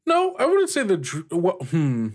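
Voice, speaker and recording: A man narrating a tabletop roleplaying game as game master, in a halting, conversational way. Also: sits high, huge pitch swings, darkish tone. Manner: halting, conversational, often saying "like".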